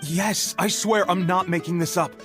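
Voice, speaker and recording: A man playing an earnest, flustered young knight in a video game, speaking with hurried urgency. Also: high voice, steady tone, a bit bright, faint music behind. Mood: flustered, urgent.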